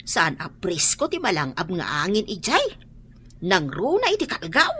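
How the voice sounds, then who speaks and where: A woman's voice: lowish voice, huge pitch swings, normal speed, fairly bright tone, husky, full character voice. A woman playing a spirited older woman devoted to family in a radio drama, with an Ilocano accent. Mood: concerned, earnest.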